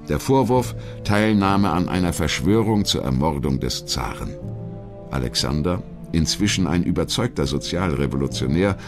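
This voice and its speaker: A man with a deep voice narrates scripted history. His delivery is measured and articulate, in the manner of a documentary storyteller. Faint music plays behind him.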